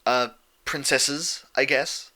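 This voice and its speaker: A man voicing a brash, teasing young female pony with a loud, cheeky, casual delivery. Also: medium pitch, fairly even intonation, takes it slowly, pitched above the performer's own voice. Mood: puzzled.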